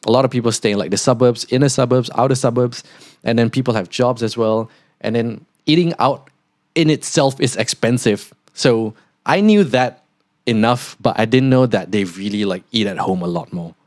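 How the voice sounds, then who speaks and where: A young man from Singapore with a softened Singaporean accent, hosting a casual podcast monologue and rambling with many "like" fillers. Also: medium pitch, moderate pace, no room sound, studio quality. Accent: Singaporean, partly neutralized toward Australian. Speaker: podcast host, international student.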